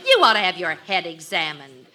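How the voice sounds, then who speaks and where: A woman's voice: on the low side, sing-song, moderate pace, bright, forceful tone. A woman playing a sensible, exasperated housewife who scolds and reasons in an old radio sitcom. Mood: indignant, sarcastic. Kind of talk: 1940s radio sitcom acting. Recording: very noisy old radio recording.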